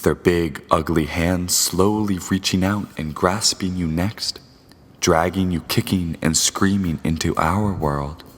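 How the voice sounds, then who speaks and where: A man's voice: sits low, steady tone, slow pace. A man playing an ominous, theatrical host who narrates a noir radio serial in florid, portentous phrases. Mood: ominous, inviting. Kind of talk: dramatic old-time radio narration. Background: loud music.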